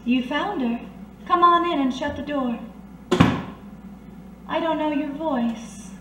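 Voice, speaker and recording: Quiet, worldly woman of the night, acted. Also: sits high, slow pace. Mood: quiet, inviting. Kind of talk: old-time radio drama acting. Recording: distant echoey room with background noise.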